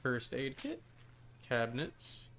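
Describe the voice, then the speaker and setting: A man's voice: medium pitch, wildly swooping pitch, takes it slowly, fairly bright tone. A man streaming a video game with casual commentary, muttering asides to the characters as he plays. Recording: faint background game noise.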